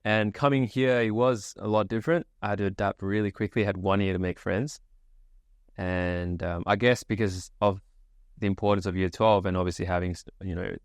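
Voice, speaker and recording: A young man from Sri Lanka answers interview questions in a relaxed, casual way, often restarting his sentences. His voice sits very low and comes across smooth and resonant. The recording is dry and close, with no room sound. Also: no echo. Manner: easygoing, casual, many fillers and restarts. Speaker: guest, agency founder.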